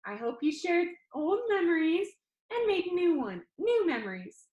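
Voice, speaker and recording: A woman's voice: very high voice, very animated, a little slow, darkish tone, highs cut off, pitched above the performer's own voice, heavily acted. A woman voicing a cheerful, jokey yet sincere teenage boy video-maker in a novel read aloud. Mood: upbeat. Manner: exuberant, playful, earnest. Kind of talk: children's novel read-aloud, character dialogue. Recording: echoey room.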